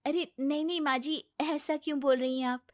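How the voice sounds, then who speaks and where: A gentle young daughter-in-law, put on by a woman narrator for a cartoon story. She speaks politely and earnestly in a high voice. The recording is dry. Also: fairly even intonation, normal speed, no echo, close to an everyday voice.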